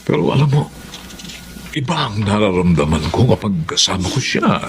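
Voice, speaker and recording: A man's voice: on the low side, sing-song, moderate pace, background noise. A man playing a shy, lovestruck older boss. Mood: wistful. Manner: hesitant, stammering, tender. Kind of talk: Tagalog radio-style drama acting.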